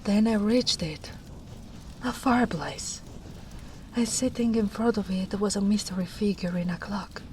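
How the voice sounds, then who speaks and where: A woman voicing a gentle, protective female ogre in a soft-spoken roleplay, talking in a hushed, half-whispered way. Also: mid-range voice, a little slow, a little hiss or hum, lightly acted. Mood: wistful.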